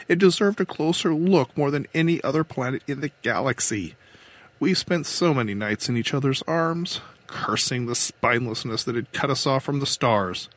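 A man's voice: fairly high pitch, voice pushed up. A man voicing a weary, defiant, idealistic older woman who captains a starship in an audiobook. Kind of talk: audiobook character dialogue.

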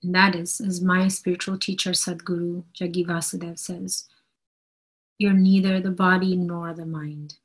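A woman giving an inspirational spiritual talk in an earnest, deliberate way, phrase by phrase, with a husky, breathy voice. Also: lowish voice, flat delivery, poor audio. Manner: deliberate, earnest, phrase-by-phrase delivery with emphatic repetition.

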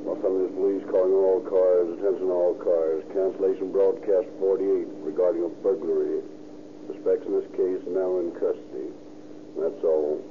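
A man's voice: low voice, flat delivery, normal speed, natural voice. A man playing a clipped, official police dispatcher reading a 1930s radio bulletin. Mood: flat, official. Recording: muffled, noisy 1930s radio recording.

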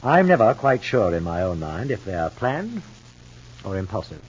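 A man's voice: fairly low pitch, sing-song, normal speed, slightly rough. A man playing a stiff, superior husband and father in a 1950s radio soap opera, speaking formally and pompously. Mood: indignant, superior. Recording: muffled old radio recording.

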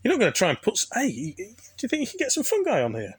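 A podcast host asks relaxed, casual questions of a guest. The voice is mid-pitched and recorded with a dry, close sound and no room echo. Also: very fast, breathy edge. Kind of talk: podcast interview.

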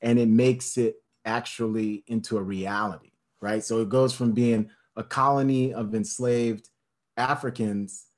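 A man's voice: medium pitch, fairly even intonation, unhurried. An impassioned man with a mid-range voice talks about history. He builds his points into long emphatic lists, often with fillers and restarts. The delivery is fervent and conversational rather than scripted. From United States. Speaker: speaker on history.

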